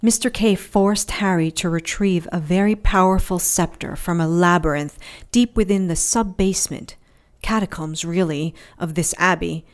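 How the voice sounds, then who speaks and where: A woman's voice: lowish voice, moderate pace, lightly acted. A woman playing a tough, wounded adventurer in an audio drama, telling her story in a wry, sardonic, colloquial way. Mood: wry storytelling.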